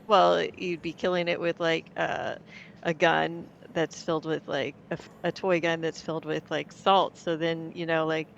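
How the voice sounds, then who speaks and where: A woman's voice: deep voice, flat delivery, moderate pace, creaky, muffled recording, a little hiss or hum. A woman co-hosting a livestream, chatting casually in loose, hesitant phrasing peppered with "like". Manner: hesitant, filler-heavy phrasing with frequent "like". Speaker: livestream co-host. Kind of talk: casual chat.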